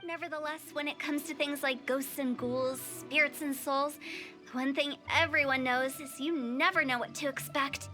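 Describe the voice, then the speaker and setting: An actress playing a playful, cheeky young funeral parlor director in an anime video game, teasing and theatrical. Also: female voice, sits high, steady tone, moderate pace, bright, forceful tone, faint music behind. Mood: explaining, solemn.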